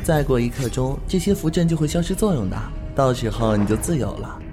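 A cheeky young apprentice, acted by a man chatters along in a playful, teasing tone. His pitch bounces freely up and down. He sounds bold and cheerful, like a lad who is sure he can talk his way out of trouble. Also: animated, a little fast, music in the background, natural voice. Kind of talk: amateur audio drama acting.

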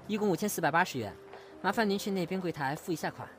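A woman's voice: fairly low pitch, animated, fast, close to an everyday voice. A woman acting a polite clothing store assistant in a modern Chinese audio drama, with music underneath.

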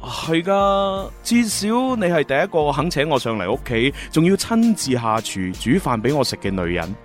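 Casting: young undercover agent in radio drama, teasing and probing, lively intonation. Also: male voice, fairly high pitch, moderate pace, faint music behind. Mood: playful, admiring. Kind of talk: Cantonese radio drama acting.

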